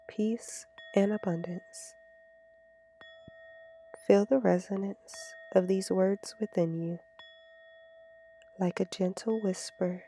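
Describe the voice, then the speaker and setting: A woman leading a slow, scripted guided meditation in a calm, gentle, soothing way. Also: mid-range voice. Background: meditation music with singing bowls.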